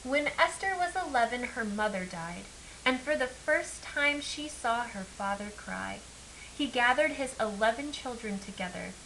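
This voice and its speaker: Lady reading a kids book out loud, kinda high bright voice, bit of hiss. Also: expressive, a little slow, rough recording. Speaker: picture-book reader. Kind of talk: expressive read-aloud narration of a children's story. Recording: clear background hiss.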